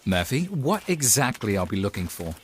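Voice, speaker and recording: A man's voice: fairly low pitch, sing-song, normal speed. Concerned, dry man, noisy background. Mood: questioning. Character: concerned, steady, slightly dry male travelling companion. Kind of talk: audio drama acting. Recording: clear background noise with clicks.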